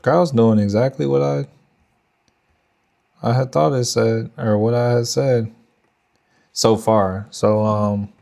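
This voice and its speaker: A man's voice: mid-range voice, vocal fry, dark tone. A young man with a Texan accent, casually thinking aloud and chatting with viewers on a trading livestream. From Texas. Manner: thinks aloud, loose slangy talk to chat. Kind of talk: casual live market commentary.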